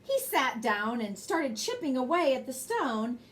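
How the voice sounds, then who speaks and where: A woman reads a bedtime story in a fairly high, very animated voice. She is expressive and speaks directly to young listeners. There is some faint noise behind her. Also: a little slow. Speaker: storybook reader and host. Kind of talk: bedtime story read aloud with brief asides.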